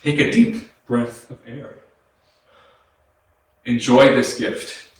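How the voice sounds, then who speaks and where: A man's voice: medium pitch, fairly even intonation, slow, close to an everyday voice. A man in a staged play reading as the ghost of a brother who died young, speaking earnest, poetic monologue in a grieving, tender way. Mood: solemn, consoling. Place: small arts centre stage, recorded from a distance. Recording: echoey theatre hall, distant mic, faint noise.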